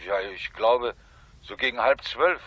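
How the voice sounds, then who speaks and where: An actor playing an earnest, slightly hesitant witness giving a report in a crime radio play, in muffled sound. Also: male voice, medium pitch, expressive, a little slow, slightly rough, dry recording. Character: plain, cooperative male witness reporting to detective.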